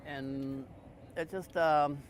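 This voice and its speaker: A man's voice: a little high, fairly even intonation, drawn-out words. An older man speaking casually and from the heart as an interviewee. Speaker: interviewee, retired carpenter. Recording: clear outdoor noise, vehicles.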